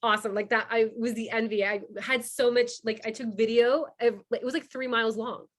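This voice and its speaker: A woman from Cuba hosting an online member orientation, talking fast and chatty with many fillers over a video call. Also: fairly high pitch, fairly even intonation. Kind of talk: casual online presentation.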